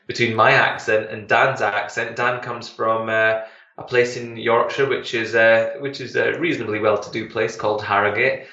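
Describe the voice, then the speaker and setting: Bright-voiced Scottish man, roomy dull line. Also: on the low side, level tone, moderate pace. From Glasgow, Scotland. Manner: enthusiastic, many fillers and restarts. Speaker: tour manager guest. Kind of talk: casual radio interview.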